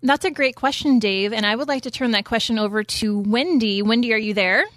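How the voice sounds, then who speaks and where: A woman's voice: a little high, a little fast, fairly bright tone, no echo. Upbeat woman co-hosting. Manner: upbeat, often tags "right?". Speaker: co-host. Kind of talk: casual interview talk.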